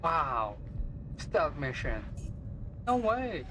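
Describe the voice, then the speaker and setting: A man's voice: a little high, sing-song, extremely slow, over music. A man streaming a game, reacting casually in short slangy mutters and reading on-screen text aloud.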